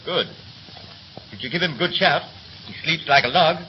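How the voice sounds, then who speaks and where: A man plays a young third officer in a 1930s radio play, with a highish, very animated voice. He is breezy, clipped and slangy, with a resentful edge. The recording is muffled, with a faint hiss. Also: normal speed, close to an everyday voice. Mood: brisk. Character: young ship's third officer, chatty, scornful, bitterly resentful.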